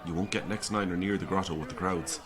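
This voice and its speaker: Low-voiced Irish priest. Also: male voice, fairly even intonation, quick pace, a bit bright, a faint crowd. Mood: eager, chatty. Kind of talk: naturalistic audio drama acting.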